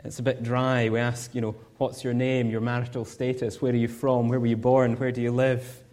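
A Scottish man preaches from the front of a church, earnest and measured. He builds his points through rhetorical questions. His voice sits at an ordinary middle pitch. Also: level tone, a little mellow. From Scotland. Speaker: guest preacher. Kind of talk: sermon.

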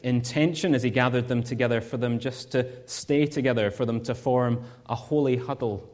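A man's voice: mid-range voice, darkish tone. Earnest Scottish man preaching. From Scotland. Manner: earnest, measured, with rhetorical questions. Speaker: guest preacher. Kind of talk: sermon.

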